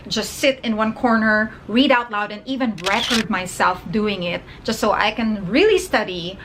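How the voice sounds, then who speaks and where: A woman's voice: mid-range voice, normal speed, noisy background. Upbeat Filipino woman giving advice. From Philippines. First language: Tagalog. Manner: upbeat, chatty, encouraging. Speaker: vlogger and ESL teacher. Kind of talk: casual vlog advice.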